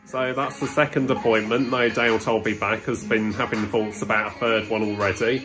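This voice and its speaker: A British man in his twenties chatting casually to a phone held at arm's length, speaking in a hesitant, halting way. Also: on the low side, little pitch movement, over music. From Britain. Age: 20s. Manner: hesitant, halting, many pauses with ums. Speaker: vlogger. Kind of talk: casual vlog talk to camera. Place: on the move: street, shopping centre, station, small studio.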